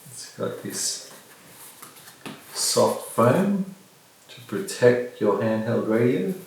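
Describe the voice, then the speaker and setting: Echoey, slow-talking low-voiced man. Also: big pitch swings, darkish tone. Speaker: vlogger reviewing gear. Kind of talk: casual unboxing commentary. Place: indoor room with bare walls, at a table. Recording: very echoey room, distant mic, faint noise.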